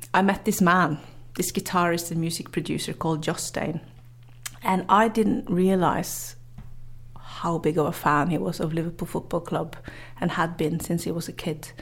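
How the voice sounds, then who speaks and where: A woman from Norway with a Norwegian accent, a journalist and author telling her story in an interview, chatty and quick to laugh. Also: fairly low pitch. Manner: chatty, laughs often, many fillers and restarts.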